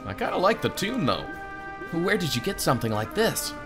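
A man voicing an earnest, excitable young male adventurer in game dialogue, inquisitive and dramatic. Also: a little high, normal speed, over music, voice pushed up. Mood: curious. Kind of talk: game dialogue read aloud.

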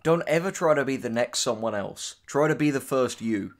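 A man's voice: mid-range voice, lively intonation, moderate pace. A man with a mid-range voice co-hosts a casual commentary. He sounds dry and sardonic, moving between reading aloud and making wry remarks. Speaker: co-host and writer.

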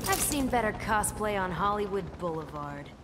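A woman's voice: medium pitch, expressive, normal speed, very noisy, close to an everyday voice. An actress playing a cocky, sarcastic young female soldier in a video game, flippant and snarky. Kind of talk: video game character dialogue.

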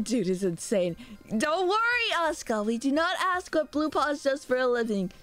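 Excitable young woman streamer with a sore throat. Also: on the high side. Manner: excitable, chatty, swears casually, thanks viewers by name. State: sore throat, a little sick. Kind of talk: casual live-stream chat with viewers. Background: faint background music.

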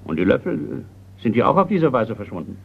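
An actor playing an impatient, indignant retired colonel, older and gruff, in a radio crime play. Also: male voice, mid-range voice, very animated, fairly quick, exaggerated voice. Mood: incredulous. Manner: blustering, indignant. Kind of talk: radio crime drama acting. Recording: slightly muffled radio play recording.

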